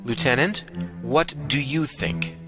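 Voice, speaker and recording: A man's voice: medium pitch, takes it slowly, close to an everyday voice. A man playing a principled, commanding colonel, solemn and dramatic, in a musical science fiction audio drama. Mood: grave. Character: principled male colonel, commanding, morally anguished idealist. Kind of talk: musical audio drama acting, partly sung. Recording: loud music behind the voice.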